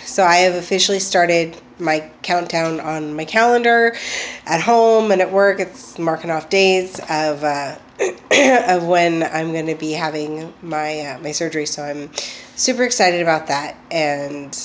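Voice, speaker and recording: Woman vlogger, low-ish voice, halting chatty delivery, recovering from a cold, faint room hiss. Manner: chatty, hesitant, many ums and restarts. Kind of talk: casual personal video diary. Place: at home.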